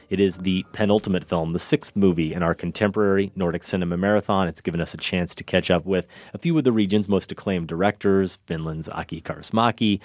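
A man's voice: deep voice, darkish tone, dry recording. A man co-hosting a film review podcast, introducing films and giving dry, sardonic takes. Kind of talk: casual film review chat.

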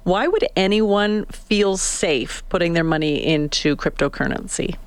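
A woman co-hosting a radio show, asking quick, curious questions. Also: on the low side, smooth, dry recording. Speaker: radio co-host. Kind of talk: radio interview.

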